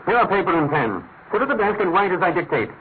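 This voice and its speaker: A masterful British gentleman detective in a vintage radio play. The voice sits fairly high, theatrical and authoritative, over a tinny broadcast with faint hiss. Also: sing-song, fast talker. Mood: stern, commanding. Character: commanding, incisive English gentleman detective. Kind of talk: old-fashioned British radio drama acting.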